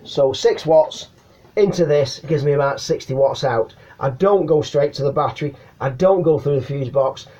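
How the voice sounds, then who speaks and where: A man's voice: a little high, normal speed, warm tone, lo-fi sound. A middle-aged man with an English accent giving casual, slangy advice to camera, hesitating and repeating words as he goes. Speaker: CB radio hobbyist giving advice. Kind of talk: casual chatty explainer to camera. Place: small attic room at home.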